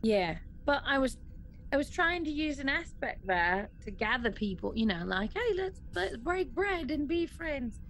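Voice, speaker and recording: High-voiced woman player, noisy background. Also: big pitch swings, measured pace, a bit bright. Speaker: tabletop game player. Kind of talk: casual in-game chat. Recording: clear background noise.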